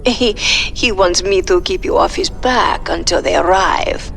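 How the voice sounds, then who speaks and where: A woman's voice: fairly low pitch, measured pace, creaky, a bit bright, soft background music. An actress playing a flirtatious, sly mercenary boss in a video game, coy and theatrical in her delivery.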